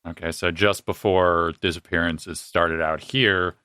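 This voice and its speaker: A man's voice: deep voice, steady tone, a little slow, bright, forceful tone, clean tone. A man playing in a tabletop roleplaying game, talking casually with the group.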